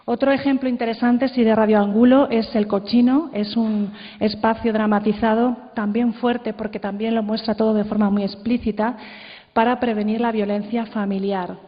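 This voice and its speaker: A woman's voice: fairly high pitch, steady tone, normal speed. A woman with a Castilian Spanish accent giving an informal, explanatory conference presentation, often adding tag questions. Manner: informal, explanatory, seeks agreement with tags. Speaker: conference speaker. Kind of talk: presentation with slides. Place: large indoor conference room. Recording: muffled, reverberant hall.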